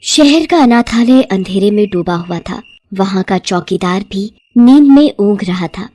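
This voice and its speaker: A woman narrating a scripted moral story in an expressive, dramatic storytelling manner. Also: medium pitch, measured pace.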